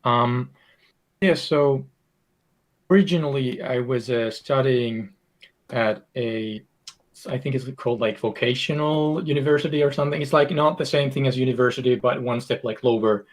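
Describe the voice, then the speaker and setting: Modest Finnish-accented man, halting. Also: mid-range voice. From Finland. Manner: modest and a bit nervous, halting. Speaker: guest game designer. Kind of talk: casual interview answers.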